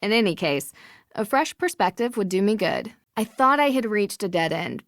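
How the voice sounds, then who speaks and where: A woman voicing a plucky, curious young detective in a video game, speaking in a bright, inquisitive, articulate way. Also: medium pitch, sing-song, moderate pace, no echo, studio quality. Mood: thoughtful.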